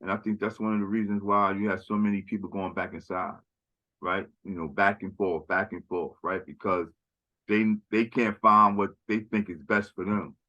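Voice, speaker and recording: A man's voice: lowish voice, level tone, moderate pace, bright, forceful tone, highs cut off. A man speaking in a casual conversational chat as a podcast guest, rambling and often tagging "right?" and "you know".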